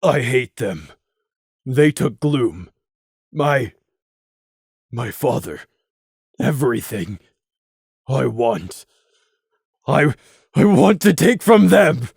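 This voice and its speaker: A grieving young pony stallion, as a woman puts him on, with a low, choked, pleading voice in a storybook reading. His pitch rises and falls with feeling, and the recording is dry and close. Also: big pitch swings, extremely slow, gravelly, pauses often, a little mellow, slightly rough, no echo, heavily acted. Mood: bitter.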